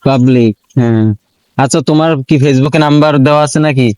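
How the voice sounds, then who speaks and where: A man's voice: mid-range voice, steady tone, brisk, a little mellow, clean tone, no room sound, poor audio. A man with a Bangladeshi accent chatting casually as a prank call host, teasing and pressing with questions. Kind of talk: casual teasing phone chat in colloquial Bengali.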